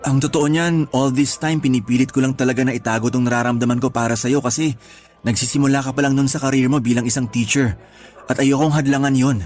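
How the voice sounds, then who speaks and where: A man's voice: medium pitch, steady tone, fast talker, darkish tone, faint music behind, lightly acted. A man playing a sincere male schoolteacher sweet on his colleague, earnest and conversational in a radio drama. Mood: confessing, tender. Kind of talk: Tagalog radio drama acting.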